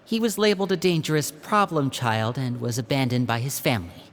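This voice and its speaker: A woman voicing a quiet, guarded young spy who speaks in a low-key, reserved way, over game music. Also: fairly quick. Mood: calm.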